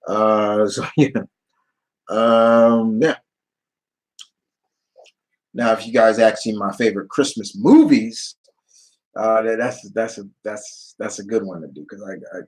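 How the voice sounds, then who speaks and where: A man hosting a casual livestream Q&A, speaking off the cuff in a halting way, repeating phrases and reading viewer questions aloud before answering. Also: on the low side, a little mellow, low-quality recording.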